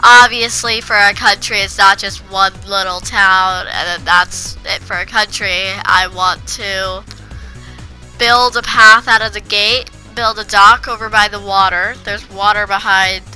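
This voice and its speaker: A woman's voice: mid-range voice, steady tone, a little slow, pushed and bright, faint music behind, rough recording. A woman with a cold, sounding congested, chatting casually over her gameplay and rambling with frequent restarts. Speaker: gaming video creator.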